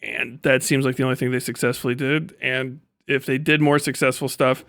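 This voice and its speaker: A man's voice: mid-range voice, level tone, a little fast, fairly bright tone, no echo, clean studio sound. A man giving a casual livestream rant as host, swearing freely in slangy, sarcastic talk and often laughing as he speaks.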